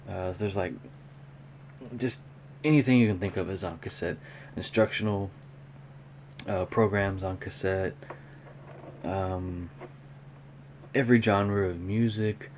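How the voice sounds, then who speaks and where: A man talking casually and unscripted in a hobby show-and-tell vlog, with a rambling, halting delivery. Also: low-pitched, darkish tone. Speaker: hobby vlogger, cassette collector. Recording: clear background noise.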